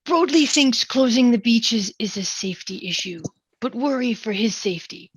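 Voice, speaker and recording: A woman with a husky voice playing a pompous, scheming small-town mayor at a table read of a comedy musical. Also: a little high, lively intonation, normal speed, no room sound, low-quality recording. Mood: scheming, insistent. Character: self-important, scheming small-town mayor named Bobo.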